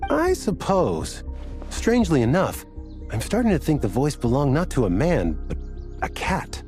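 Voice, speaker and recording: An earnest, rambling storyteller. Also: male voice, a little high, wildly swooping pitch, normal speed, a little mellow, faint music behind. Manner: earnest, meandering, easily sidetracked. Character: rambling, flustered man recounting a strange ordeal. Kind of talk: game cutscene dialogue.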